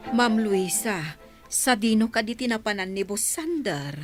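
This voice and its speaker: An actress playing a curious, chatty housemaid. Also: female voice, medium pitch, expressive, unhurried, music in the background, natural voice.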